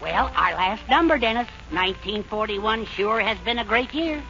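An actor playing an earnest, sentimental musician bandmate in a 1940s radio comedy sketch. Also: male voice, high-pitched, animated, moderate pace, loud music behind the voice. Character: sentimental bandmate bidding his partner farewell. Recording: muffled, noisy old radio recording.

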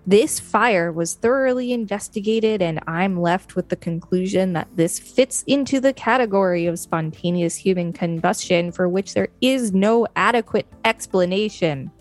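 Lady co-host reading out a wiki article, normal pitch, faint music behind her. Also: moderate pace, hardly any pauses. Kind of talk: read-aloud article, informative narration.

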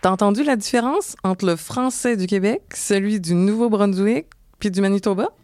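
A woman from Montreal with a Québécois accent, co-hosting a travel podcast in a lively, folksy way. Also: mid-range voice, moderate pace, noisy background. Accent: Québécois French. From Montreal, Quebec. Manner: lively, folksy Quebec expressions. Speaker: podcast co-host. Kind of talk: scripted travel podcast talk.

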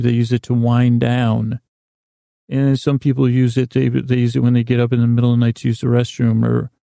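Male sleep-podcast host, gravelly and flat in pitch, wandering and halting delivery. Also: no room sound, studio quality. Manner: meandering, halting, repeats and trails off. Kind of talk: rambling bedtime podcast monologue to help listeners sleep.

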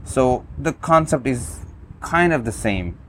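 A man whose first language is Urdu, calmly demonstrating a phone app, with many fillers and restarts. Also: mid-range voice, slow, slight background noise. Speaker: app reviewer.

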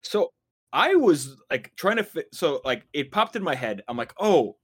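A man's voice: on the high side, big pitch swings, moderate pace, husky. A man hosting a casual podcast, introducing the topic and explaining things in a loose, rambling way. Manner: easygoing, rambling, lots of fillers. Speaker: podcast host.

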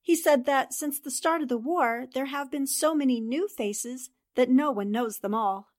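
A woman's voice: high voice, normal speed, no room sound, clean studio sound, natural voice. A woman playing a composed, inquisitive newspaper columnist in a 1940s style radio drama, earnest and direct.

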